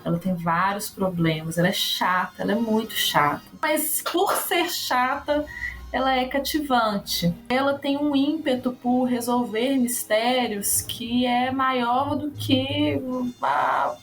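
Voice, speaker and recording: An actress and educator talking casually in an interview. Her voice moves freely up and down as she thinks aloud. Soft music plays under her. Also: fairly high pitch, slow pace. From Brazil. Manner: animated, musing, fond of rhetorical questions.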